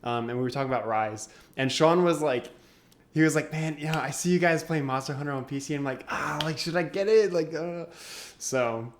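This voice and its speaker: A man's voice: a little high, brisk, a little hiss or hum. A young man co-hosting a gaming podcast, laid-back and chatty, often laughing as he tells stories. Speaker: podcast co-host. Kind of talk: casual gaming podcast chat.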